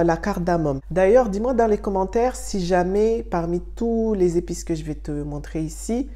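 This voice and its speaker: A young woman from Mayotte talks her viewers through a home recipe in a relaxed, friendly way. Her voice sits a little low and sounds soft and mellow, and she often pauses with small hesitations as she explains. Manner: friendly, addresses viewer directly, frequent "euh" pauses. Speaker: wellness channel host. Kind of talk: casual tutorial talking to camera.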